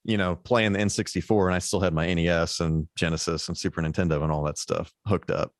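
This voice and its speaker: A man with a low baritone voice talks as a guest on a casual podcast. He rambles with plenty of fillers and restarts. His voice sits low, and the recording is dry, with no room sound. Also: steady tone, fast talker, dry recording. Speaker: guest musician.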